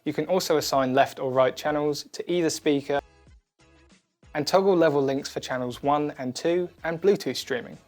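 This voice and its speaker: A man with a slightly high voice narrates a scripted product demonstration. He speaks clearly and methodically, walking the listener through instructions step by step. Soft music plays behind him. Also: normal speed. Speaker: product demo presenter. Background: background music.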